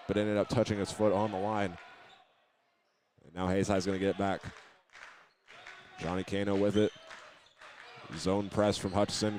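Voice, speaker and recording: A man's voice: darkish tone, slight background noise. A man with an American accent giving live play-by-play radio commentary of a basketball game. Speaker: play-by-play announcer. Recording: clear gym ambience and ball bounces. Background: live basketball game in a high school gym.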